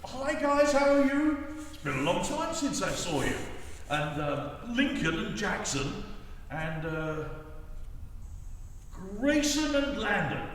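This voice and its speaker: A kindly, jolly old Santa as a man acts him out talks to children in a lively, high voice. He sounds far off in a very reverberant hall. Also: takes it slowly, pauses often, full character voice. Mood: jovial, chatty. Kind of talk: storybook recital and chat for children. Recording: distant, very echoey hall, faint noise.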